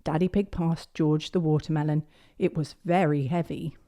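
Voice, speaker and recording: A woman reading a picture book aloud to young children in an expressive storytelling manner. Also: low voice, moderate pace. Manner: expressive, clearly paced delivery of picture-book narration.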